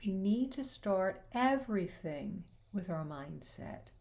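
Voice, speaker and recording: A woman's voice: medium pitch, lively intonation, takes it slowly, gravelly, darkish tone. A woman hosting a faith-based talk show, speaking earnestly and encouragingly, her voice a little worn. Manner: earnest and encouraging, quoting scripture. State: slightly losing her voice.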